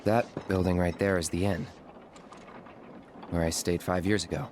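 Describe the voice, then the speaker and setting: Man playing a low-voiced, laconic young mercenary. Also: normal speed, lightly acted. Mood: aloof, subdued. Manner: laconic, flat, understated. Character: terse, aloof young male mercenary. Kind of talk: video game character acting. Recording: clear background ambience noise.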